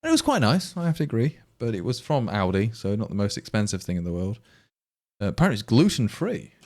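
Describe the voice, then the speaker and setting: A man's voice: lowish voice, huge pitch swings, fast talker, a little mellow. An English man with an East Midlands accent, chatting casually to stream viewers in a rambling, exasperated way with many restarts. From England. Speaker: livestream programmer.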